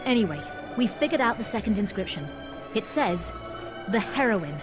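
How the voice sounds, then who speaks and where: Self-assured female adventurer for a fantasy game, woman actor; mid-pitched, dry, matter-of-fact, music behind. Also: very animated, moderate pace, close to an everyday voice. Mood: wry, explaining.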